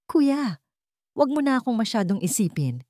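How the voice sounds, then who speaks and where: A woman with a Filipino accent voicing a frail teenage girl, a gentle, concerned younger sister in a story drama. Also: on the high side, big pitch swings, moderate pace, no room sound, studio quality, voice pushed up.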